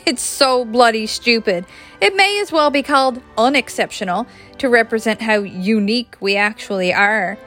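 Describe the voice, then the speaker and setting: A woman's voice: a little high, normal speed, fairly bright tone, soft background music, lightly acted. A woman voicing a sardonic, exasperated young woman in a story reading. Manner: wry, exasperated. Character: sardonic pregnant young woman, fed up with work.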